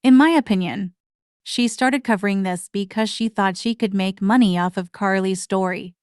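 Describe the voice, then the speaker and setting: A woman's voice: medium pitch, moderate pace, smooth, no echo, clean studio sound. A woman hosting a reaction commentary channel, speaking bluntly and mockingly in a scripted way.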